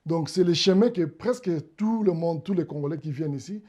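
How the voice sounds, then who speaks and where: A man interviewing a guest, asking measured questions and giving frequent short acknowledgements. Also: fairly high pitch, warm tone, highs cut off. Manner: frequent short backchannel replies.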